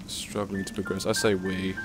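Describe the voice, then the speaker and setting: A man's voice: low voice, completely flat delivery, normal speed. A man casually commentating his gameplay, chatty and self-mocking, swearing at himself when stuck. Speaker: let's play commentator. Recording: game music playing behind.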